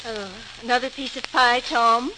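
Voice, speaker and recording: A fond, bossy middle-aged mother played by an actress fussing over her grown son. Her voice is rather high, in old-time radio style, over clear hiss and crackle. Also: steady tone, moderate pace, lightly acted. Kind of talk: 1940s radio acting. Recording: hissy, crackling 1940s radio recording.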